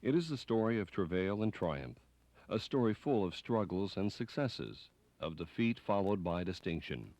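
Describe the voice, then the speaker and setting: A man's voice: on the low side, sing-song, moderate pace, darkish tone, no room sound. A man reading scripted narration as the narrator of a documentary.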